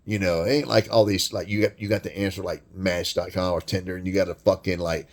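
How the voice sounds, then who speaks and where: A man with a deep voice delivering a blunt, crude, rambling monologue rant full of swearing. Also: little pitch movement, a little fast. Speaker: vlogger.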